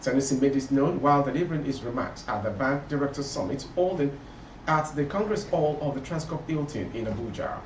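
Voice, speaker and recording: A man's voice: medium pitch, expressive, fairly quick. A Nigerian man reads the news from a script in a formal, declarative way. His voice reaches us through a dull recording, with plenty of room echo and a faint layer of noise behind him. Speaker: news reader. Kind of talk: scripted news bulletin read aloud. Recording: roomy, muffled, distant, faint background noise.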